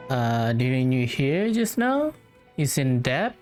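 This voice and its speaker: A woman's voice: very deep voice, big pitch swings, takes it slowly, music in the background. A woman gamer making brief, informal reactions to game dialogue during a playthrough.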